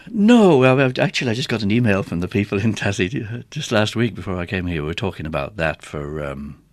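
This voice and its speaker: Deep-voiced American man, hesitant and conversational. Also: animated, fast, dry recording. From United States. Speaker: interview guest, touring theatre organist. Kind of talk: casual interview conversation.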